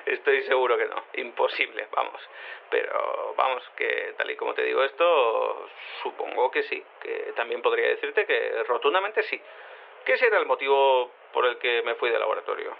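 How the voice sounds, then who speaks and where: A man's voice: mid-range voice, moderate pace, creaky, phone-quality sound, slight background noise, lightly acted. A man playing a naive, rambling quantum cat in human form, interviewed in an audio drama, speaking casually and hesitantly. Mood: flustered, defensive.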